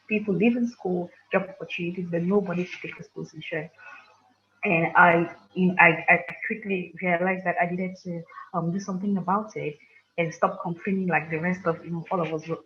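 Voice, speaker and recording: A woman with a Cameroonian accent giving an informal talk as a guest speaker at an online conference. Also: lowish voice. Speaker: tech entrepreneur, guest speaker. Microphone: online conference call. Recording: muffled online call with clear noise.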